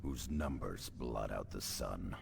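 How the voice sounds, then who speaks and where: An actor playing a grim, stoic veteran space marine in a video game, grave and measured. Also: male voice, rumbling low, gravelly, background noise, full character voice.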